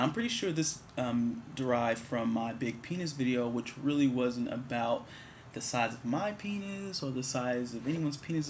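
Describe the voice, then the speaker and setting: A man's voice: medium pitch, slight background noise. Candid rambling young man vlogging. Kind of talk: casual talk to camera.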